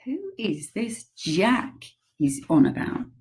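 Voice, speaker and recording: A plucky young girl speaks softly and matter-of-factly, as a woman puts her on. She sounds sharp and unafraid, the calm child in a storybook. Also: deep voice, takes it slowly, creaky, exaggerated voice. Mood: plain.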